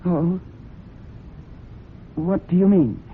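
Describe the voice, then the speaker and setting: A woman's voice: lowish voice, extremely slow. A woman playing an anxious, guarded young woman with a guilty secret in a 1970s radio drama, stammering defensively. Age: young adult. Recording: tinny band-limited old radio recording, light noise.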